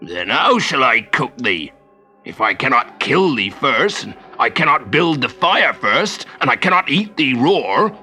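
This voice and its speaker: A man voicing a huge, hungry, dim-witted troll in a storybook, speaking in slow, menacing, old-fashioned declamation. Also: medium pitch, big pitch swings, pushed and bright, faint music behind, heavily acted. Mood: menacing, puzzled. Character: very large, hungry, stupid, menacing troll, archaic speech. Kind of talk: storybook character voice.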